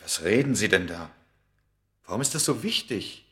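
An actor playing a bewildered, frightened scholar of ancient Egypt in a German radio play, agitated, halting and questioning. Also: male voice, lowish voice, lively intonation, close to an everyday voice. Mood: puzzled.

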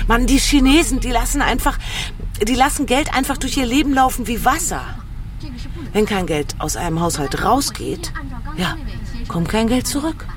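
A woman's voice: a little high, natural voice. A woman playing an opinionated expatriate, speaking conversationally and emphatically, switching into English phrases. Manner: emphatic, drops English phrases into her talk. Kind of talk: conversational monologue.

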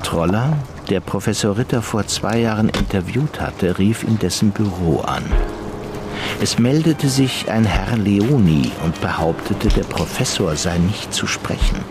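An actor as the measured, sober narrator of a crime thriller radio drama. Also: male voice, fairly low pitch, expressive, over music.

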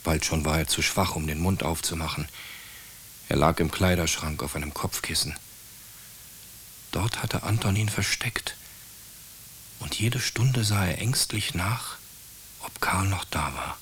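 Guy narrating a radio play, low voice, reading a story, bit of hiss. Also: unhurried, long pauses, a bit bright.

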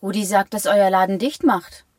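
A woman's voice: medium pitch, fairly even intonation, normal speed, natural voice. An actress playing a down-to-earth, exasperated woman in a radio drama, blunt and reproachful. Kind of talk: German radio drama acting.

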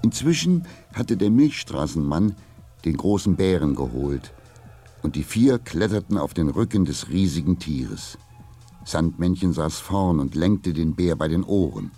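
A man narrating a children's radio play as a calm storyteller, reading a scripted adventure tale. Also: low voice, unhurried, husky, faint music behind, heavily acted. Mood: calm, vivid storytelling.

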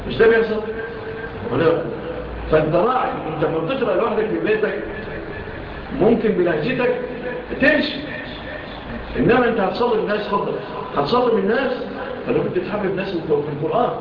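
A man's voice: high voice, fast talker, long pauses, a crowd in the background. Man, religious teacher, colloquial asides, heavy echo, far from mic. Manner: mixes formal Arabic with colloquial asides, rhetorical questions. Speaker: Islamic teacher explaining hadith. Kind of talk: religious lesson lecture. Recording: echoey distant dull recording with noise.

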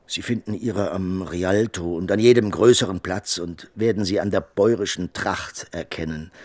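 An actor playing an obliging, talkative scene painter in a German radio drama, courteous and confiding, with a husky voice. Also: male voice, sits low, no echo. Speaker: supporting actor.

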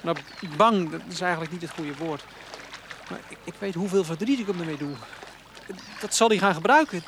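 A man's voice: high voice, fairly quick, fairly bright tone, slight background noise. An actor in his twenties with a Netherlands Dutch accent playing a troubled, hesitant young farmer's son in a radio play. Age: 20s. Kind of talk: naturalistic radio drama dialogue.

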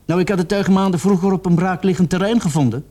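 An actor in a radio drama playing a cornered, remorseful young male murder suspect who confesses in a halting, defensive way. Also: high voice, warm tone. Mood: defensive, explaining.

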